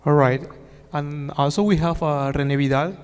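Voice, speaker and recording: Higher-voiced male moderator in a hall. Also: normal speed, clean tone. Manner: hesitant, repeats words while prompting. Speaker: panel moderator. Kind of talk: moderating a panel. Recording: reverberant hall.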